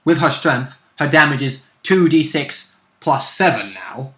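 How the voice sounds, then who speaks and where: A man's voice: mid-range voice, expressive, measured pace, fairly bright tone. A man co-hosting a casual chat about a tabletop game and its rules, recorded in a room. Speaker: co-host and tabletop game player. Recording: roomy, echoing sound.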